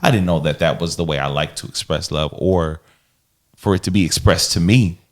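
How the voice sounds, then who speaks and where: A man with a low voice, chatting loosely on a relationship podcast. He is animated and playful and keeps breaking into little mock quotes. The talk rambles along with a minister's ease. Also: a little fast. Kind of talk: casual relationship chat.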